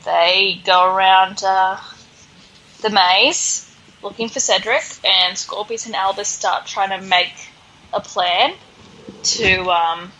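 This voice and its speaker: A woman's voice: mid-range voice, steady tone, slow, sharp tone, lo-fi sound. A woman hosting a podcast, casually recapping a story in a sarcastic, exasperated way. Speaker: podcast host.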